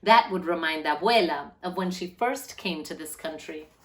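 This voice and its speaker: Woman reading a children's picture book aloud. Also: low-pitched. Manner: animated, deliberate phrasing with drawn-out words. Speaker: read-aloud storyteller for children.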